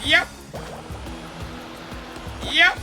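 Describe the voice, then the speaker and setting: A woman reacting casually and live as she plays a video game, often repeating short phrases, with game music behind her. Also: extremely slow.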